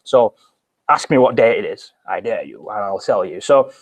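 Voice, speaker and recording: A young man with a British accent hosts a live online session from a small bright office. He talks energetically and gestures with his hands, often restarting his phrases mid-sentence as he goes. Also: lowish voice, animated, normal speed, husky. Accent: British English. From Britain. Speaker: webinar host. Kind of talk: live webinar presentation.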